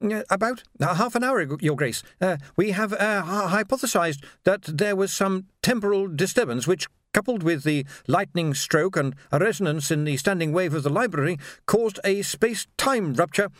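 A man voicing a bookish wizard scholar in a storybook, halting and stammering through pedantic technical talk. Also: high-pitched, animated, fairly bright tone, no room sound, clean studio sound, voice pushed up. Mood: nervous, curious.

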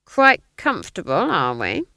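A woman as a villainous Duke, pompous, twitchy, gloating, grandiose, theatrical, very animated pitch, fantasy audiobook. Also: unhurried, no echo, studio quality, full character voice. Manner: grandiose, theatrical, archaic phrasing.

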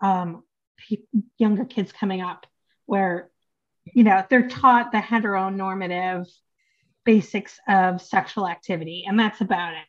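A woman's voice: medium pitch, steady tone, a little slow. Chatty American woman host, dull sound. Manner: chatty, hesitant restarts, laughs between phrases. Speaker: podcast host. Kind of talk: podcast interview.

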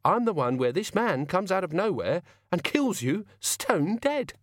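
Guy doing a creepy cheerful villain for an audiobook, polite as anything, high voice, super dry sound. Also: sing-song, unhurried, no room sound. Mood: cheerful menace. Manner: courteous, childishly cheerful, menacing. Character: chillingly cheerful, polite, soft-menacing male assassin boss.

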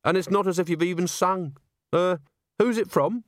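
A man's voice: high-pitched, normal speed, no room sound, clean studio sound, voice pushed up, lightly acted. A man voicing a polite, weary young woman in a storybook, hesitant and drily unimpressed. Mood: doubtful, polite. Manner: hesitant, deadpan.

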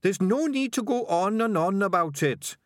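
High-pitched, exasperated rat underling. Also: male voice, lively intonation, moderate pace, no room sound, studio quality. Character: anxious, exasperated male rat messenger, excitable underling.

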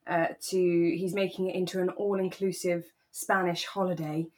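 A British woman with a slightly low voice co-hosts a chatty podcast. She talks in a relaxed, conversational way, as if going over a favourite drama with a friend. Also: moderate pace. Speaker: podcast co-host.

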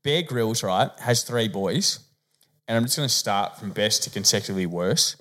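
Australian podcast co-host telling stories. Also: male voice, fairly low pitch, animated, a bit bright. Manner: storytelling, drawn-out asides. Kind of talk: casual banter.

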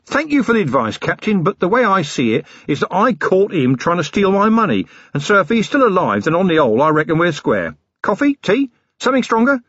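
In an audiobook, a man voices a rough older tycoon who got rich on rubbish. The voice sits raised and loud, blunt and matey, with a husky edge. The sound is dry and a little dull. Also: sits high, very animated, fairly quick, no echo, voice pushed up, exaggerated voice. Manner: blunt, matey working-class bluster. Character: rough, ruthless older male scrap-and-muck tycoon. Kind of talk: audiobook character voice.

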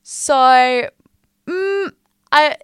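A woman of twenty, hosting a casual solo podcast in a small quiet room, candid and upbeat with slangy asides. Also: sits high, drawn-out words, dry recording, clean studio sound. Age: 20s. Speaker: podcast host. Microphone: studio mic.

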